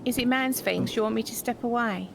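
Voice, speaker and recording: A woman chatting in character during a roleplay game, gossipy and quick to laugh. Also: a little high, vocal fry, background noise. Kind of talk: casual in-character chat.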